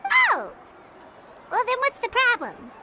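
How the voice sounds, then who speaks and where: A woman voicing a tiny, childlike fairy companion in a video game, chirpy, naive and eager, with a squeaky voice. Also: huge pitch swings, takes it slowly, a loud crowd, very noisy, exaggerated voice. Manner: eager, refers to herself in third person. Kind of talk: scripted video game dialogue.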